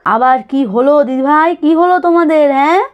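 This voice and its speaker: A woman as a high-voiced, fussing village grandmother. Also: fairly quick, dull audio, close to an everyday voice. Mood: scolding. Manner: fretful, repeats commands. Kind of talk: children's doll-story cartoon voice acting.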